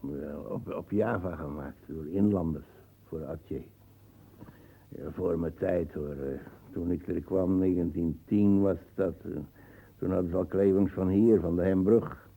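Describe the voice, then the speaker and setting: Radio drama: old colonial veteran, low and husky, disdainful, rambling, grumbling. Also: male voice, fairly even intonation, unhurried, warm tone, heavily acted, poor audio. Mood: grumbling, boastful. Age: older. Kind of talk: radio play acting.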